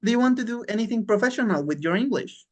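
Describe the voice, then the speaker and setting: A man's voice: high voice, animated, quick pace, a little mellow. Male language teacher for an online class, encouraging, informal, repeats words to drive points home. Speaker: English teacher. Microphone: online class call.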